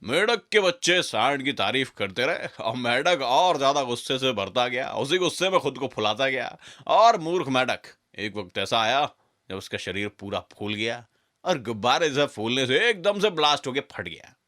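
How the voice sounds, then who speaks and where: A man's voice: mid-range voice, big pitch swings, moderate pace, pushed and bright. A man narrating a scripted children's fable as a storyteller.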